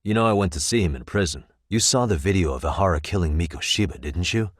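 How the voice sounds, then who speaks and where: A man acting a determined, streetwise private detective in a video game, with an American accent and a measured, probing, bluntly persuasive way of talking. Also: low-pitched, lively intonation, dry recording, clean studio sound. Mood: confrontational.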